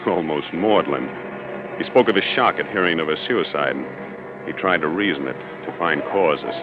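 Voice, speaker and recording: An actor playing an uneasy, suspicious hunter, brooding and deliberate, in a 1940s radio suspense drama. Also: male voice, deep voice. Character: uneasy, suspicious hunter narrating his own peril. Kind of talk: 1940s radio acting, first-person narration. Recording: dull old 1940s radio recording.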